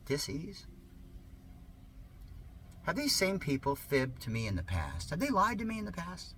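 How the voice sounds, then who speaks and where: A man reading an article aloud in a sarcastic, theatrical manner, with drawn-out emphasis and muttered asides. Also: fairly high pitch, wildly swooping pitch, a bit bright, a little hiss or hum. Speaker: amateur reader of an article.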